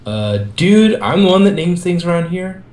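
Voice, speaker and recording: A man voicing an excitable, goofy teenage mutant turtle ninja in an amateur fan fiction reading. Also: sits high, normal speed, reverberant, voice pushed up. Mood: playful, insistent.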